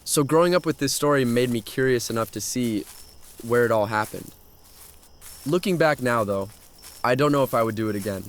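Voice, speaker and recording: A man's voice: mid-range voice, fairly quick, natural voice. A man playing an adventurous, thrill-seeking young man who tells his story in a horror audio drama. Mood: reflective, ominous.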